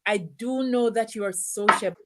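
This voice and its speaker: A woman's voice: on the high side, moderate pace, heavy background noise. A woman hosting an interview, encouraging her guest and asking long, rambling questions. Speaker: host. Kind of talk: interview host.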